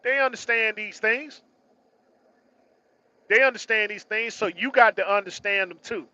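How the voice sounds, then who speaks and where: High-pitched, emphatic man in his forties. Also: moderate pace, sharp tone, dry recording, lo-fi sound. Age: early 40s. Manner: emphatic, repeats phrases for stress, slangy. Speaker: truck driver vlogger. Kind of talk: casual motivational monologue.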